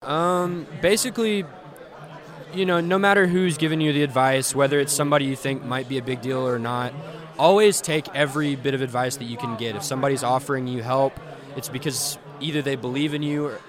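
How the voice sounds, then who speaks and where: A seventeen-year-old man in a casual outdoor interview, his voice sitting at an ordinary middle pitch. He talks in a relaxed way, filling gaps with plenty of ums. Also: fairly quick, crowd noise. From Memphis area, US. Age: teens. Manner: relaxed, many um fillers. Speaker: band drummer, guest.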